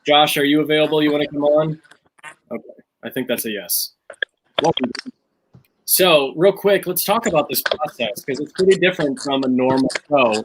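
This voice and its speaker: A man's voice: on the high side, moderate pace, slight background noise, low-quality recording. Casual man hosting a livestream. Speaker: host of a theatre's online fundraiser. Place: remote online stream. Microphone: video call.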